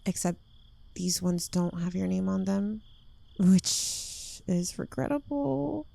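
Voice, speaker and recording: Woman acting a slow, creaky-voiced possessive witch girlfriend. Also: mid-range voice, animated, warm tone, no room sound, exaggerated voice. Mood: coy, playful. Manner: coy, teasing, lingering delivery dropping into breathy whispers. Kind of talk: intimate ASMR roleplay, addressed to the listener.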